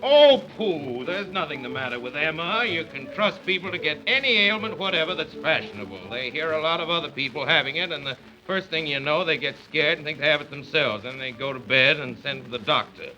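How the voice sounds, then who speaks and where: A man's voice: a little high, expressive, fairly quick, hardly any pauses, sharp tone, soft background music. An actor playing a stubborn, blustery middle-aged father in a 1930s radio comedy, speaking in emphatic, impatient exclamations. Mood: indignant bluster. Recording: dull vintage 1930s radio broadcast.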